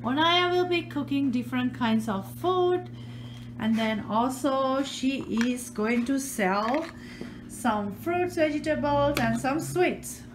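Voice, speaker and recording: A woman behind the camera in a home living room, gently and patiently playing pretend shop with a toddler. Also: high voice, slow, background noise. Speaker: parent hosting a kids' channel. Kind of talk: playful pretend-play with a toddler.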